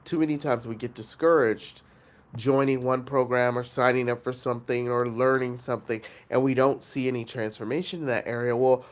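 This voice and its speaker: A man hosting a coaching challenge, speaking earnestly and reflectively with pauses and fillers, his voice nasal from congestion. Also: mid-range voice, fairly even intonation. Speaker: host and facilitator of an online coaching challenge. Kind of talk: motivational coaching talk.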